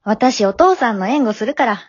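A woman acting in an amateur radio drama as a frank, matter-of-fact teenage daughter who chides gently. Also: on the high side, animated, normal speed, breathy edge, no echo, highs cut off. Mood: firm, supportive. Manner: matter-of-fact, teasing, plain-spoken. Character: frank, forgiving teenage high-school daughter, gently chiding.

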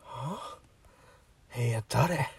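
A man playing a bewildered, irritated high school boy in a radio drama, blunt and incredulous. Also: very slow, vocal fry, slightly rough, distant mic, full character voice. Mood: startled, suspicious. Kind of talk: amateur radio drama acting.

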